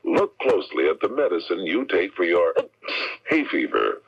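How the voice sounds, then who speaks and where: A man's voice: deep voice, big pitch swings, moderate pace, vocal fry, breathy edge. A man reading a scripted hard-sell radio advertisement as a commercial announcer. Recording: dull vintage radio broadcast.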